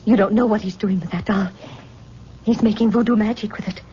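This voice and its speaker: An actress playing a frightened young fiancée tormented by a spell, in a melodramatic, pleading old-time radio style. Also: female voice, medium pitch, fairly even intonation, fairly quick, warm tone, lightly acted. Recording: muffled, noisy old radio recording.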